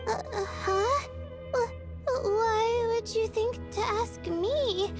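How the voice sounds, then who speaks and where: A timid, self-doubting girl scholar in a video game. Her very high voice is slow, hesitant and stammering, easily flustered. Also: over loud music, heavily acted. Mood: flustered, nervous.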